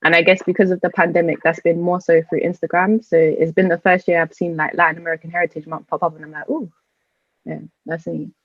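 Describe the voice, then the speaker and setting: Young female guest, British accent, lowish voice, conversational, dry and slightly muffled call audio. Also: level tone, fast talker, few pauses, no echo. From born in Venezuela, in the UK from age three. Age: young adult. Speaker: podcast guest. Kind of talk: casual introduction and discussion. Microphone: remote video call.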